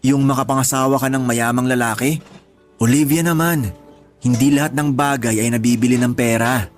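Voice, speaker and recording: A man performing a smitten restaurant manager in a Filipino radio drama. He has a Filipino accent and a mid-pitched voice, and speaks sincerely, with gentle, pleading persistence. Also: fairly even intonation, moderate pace, faint music behind, lightly acted. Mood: earnest, pleading.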